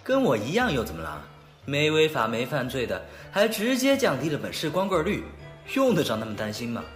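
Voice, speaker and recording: Man playing a blunt, animated young best friend. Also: fairly high pitch, brisk, faint music behind. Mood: sardonic, teasing. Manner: blunt, probing, sardonic. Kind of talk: audio drama acting.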